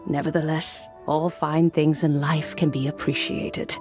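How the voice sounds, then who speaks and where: An actress voicing a wise, gracious elderly woman who speaks in a genteel, gently teasing way in a video game. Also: deep voice, level tone, over music. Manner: genteel, warmly teasing, unhurried.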